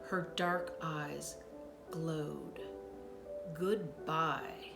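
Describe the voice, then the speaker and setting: Animated woman reading a children's story aloud. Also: medium pitch, over music. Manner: animated, dramatic pauses, acts out dialogue. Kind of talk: expressive storytime read-aloud with brief asides.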